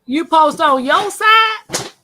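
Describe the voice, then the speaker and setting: Her voice sits high and bright, and she speaks slowly, drawing out her words. She is a woman who hosts a laid-back livestream, ribbing her co-host and laughing easily. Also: expressive. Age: 40s. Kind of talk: casual livestream chat.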